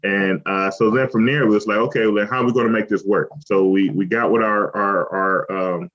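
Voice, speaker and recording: A man who owns a restaurant, talking in a relaxed, rambling way with lots of fillers as an interview guest, from Cleveland. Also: lowish voice, brisk. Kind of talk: casual interview talk. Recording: lo-fi muffled audio.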